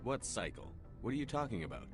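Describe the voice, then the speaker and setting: A man voicing a steady, serious human military commander in a video game, terse and matter-of-fact. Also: mid-range voice, fast, close to an everyday voice. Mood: questioning. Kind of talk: scripted game dialogue. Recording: music behind the voice.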